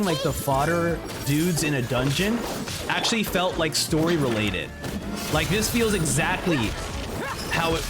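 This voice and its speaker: A man giving casual, effusive live reaction commentary as a gaming streamer. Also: on the high side. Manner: enthusiastic, effusive.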